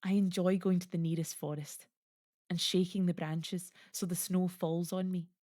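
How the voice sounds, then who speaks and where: Audio play: actress as lyrical, reminiscing immigrant mother, lowish pitch, dry close sound. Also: flat delivery, moderate pace, warm tone, dry recording, lightly acted. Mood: fond, wistful. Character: reflective Estonian immigrant mother fond of winter.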